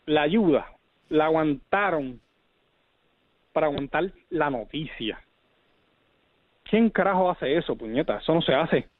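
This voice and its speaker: A man's voice: fairly high pitch, animated, unhurried, long pauses. Indignant Puerto Rican man on a tinny clip. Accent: Puerto Rican Spanish. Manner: indignant, cursing. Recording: tinny phone-like archive clip.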